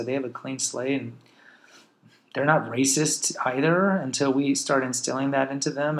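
A man's voice: medium pitch, creaky. A man in his forties, a podcast host, candidly telling his own life story in a wry, self-deprecating way. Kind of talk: personal storytelling monologue.

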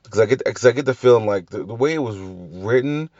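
A man's voice: medium pitch, expressive, a little fast, a little mellow, no echo, dull audio. A man hosting a casual solo show about TV series, rambling through tangents with restarts and the odd swear, with a slight cough left over from the flu. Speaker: podcast host reviewing TV shows. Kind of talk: casual solo review chat.

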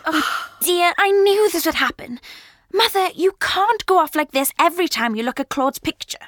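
A woman playing an exasperated daughter, brisk and chiding, in an audio drama. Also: very high voice, lively intonation, fairly bright tone, no echo, clean studio sound. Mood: exasperated, coaxing. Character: exasperated daughter fussing over her grieving mother.

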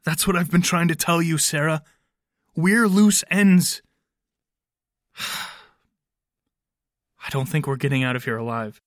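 A man's voice: a little high, takes it slowly, long pauses, fairly bright tone, no room sound, clean studio sound. A man playing a wary, defiant fugitive in handcuffs in an audio drama, speaking with cynical urgency. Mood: grim, cynical.

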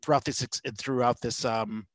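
A man's voice: mid-range voice, fairly even intonation, moderate pace, no room sound. Guy hosting a podcast chat, kinda rambly and hesitant, really dry close sound. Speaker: podcast host. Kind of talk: casual conversational interview.

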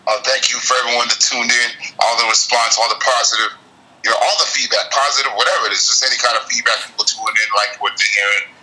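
A man's voice: medium pitch, steady tone, fast talker, bright, forceful tone. A man co-hosting a podcast by phone, rambling casually with many fillers. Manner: rambling, repeats "you know". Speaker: podcast co-host. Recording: phone line.

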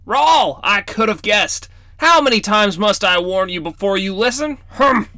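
Storybook role: a man doing a scolding old wizard grandfather, bright and crisp. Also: high-pitched, expressive, a little slow, dry recording, clean studio sound, pitched above the performer's own voice, exaggerated voice. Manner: scolding, then teasing. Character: stern but fond old grandfather wizard.

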